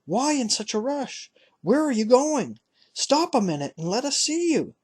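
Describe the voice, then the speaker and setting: A man voicing a shrewd, excitable old fisherman father who cries out in a husky voice. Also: moderate pace, full character voice. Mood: excited. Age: older. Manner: shouting eagerly, cries out high.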